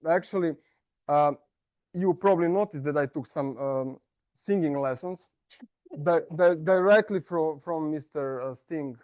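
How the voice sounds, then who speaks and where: A man from Serbia with a Serbian accent, giving a humorous prepared speech at a speaking club in a self-mocking, playful way with halting restarts. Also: a little high, darkish tone, dull audio. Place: meeting room.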